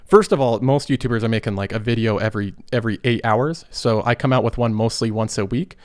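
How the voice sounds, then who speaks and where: A thirty-year-old man getting over a cold talks through personal finance in a casual, earnest way, pausing and restarting as he thinks. His mid-pitched voice sounds dry and close, with no room echo. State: recovering from a cold. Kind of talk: casual explanatory monologue.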